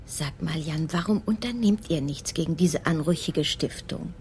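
Low-voiced older wife, played by an actress. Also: female voice, moderate pace, darkish tone, a little hiss or hum, natural voice. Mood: fond, curious. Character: commissioner's older wife, affectionate and inquisitive. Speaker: actress in a radio crime drama. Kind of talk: German radio play acting, intimate dialogue.

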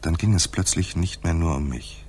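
An actor playing a world-weary, sardonic private detective who also narrates in a radio crime drama, with a dry, wry, clipped delivery. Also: male voice, deep voice, normal speed, a little mellow, a little hiss or hum, natural voice. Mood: brooding narration.

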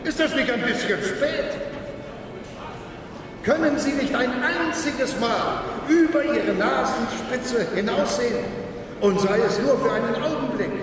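An actor playing an impassioned visionary billionaire in a radio drama, speaking in a declamatory, emphatic way. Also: male voice, very high voice, a little slow, a crowd in the background. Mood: indignant. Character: impassioned visionary male billionaire, backer of a space project. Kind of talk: radio drama acting, oratory. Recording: echoey hall, distant, noisy ambience.

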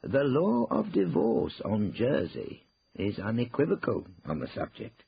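A man voicing a stern, disapproving senior lawyer in an audiobook, formal, deliberate and pompous. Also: medium pitch, wildly swooping pitch, creaky, breathy edge, muffled recording, voice pushed up, full character voice. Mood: grave, reproving. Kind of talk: acted audiobook dialogue.

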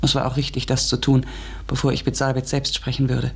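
An inquisitive, ambitious woman in a literary radio drama. Her voice is breathy and husky, and she speaks earnestly, reflectively and with polite hesitancy. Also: very deep voice, monotone, brisk, full character voice. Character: eager, curious, ambitious woman narrating her strange discovery. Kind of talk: literary radio play narration and dialogue.

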